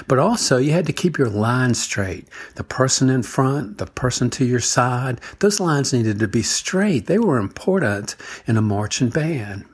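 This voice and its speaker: Older man, husky mid-pitched voice, gentle storytelling devotional with a closing prayer. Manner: gentle storytelling, chuckles often. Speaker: devotional speaker. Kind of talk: devotional talk.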